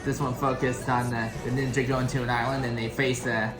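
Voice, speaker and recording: A man hosting a reaction video, giving casual, rambling commentary in a hesitant, halting, informal way. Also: mid-range voice, level tone. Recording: roomy echo, loud show music behind.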